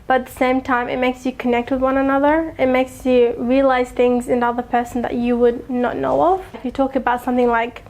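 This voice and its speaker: A young woman with a high, light voice speaks in a reflective interview. She is earnest and thoughtful, and she pauses often to find her words. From Afghanistan. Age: young adult. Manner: thoughtful, earnest, with soft laughs and frequent ums. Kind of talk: reflective personal testimony.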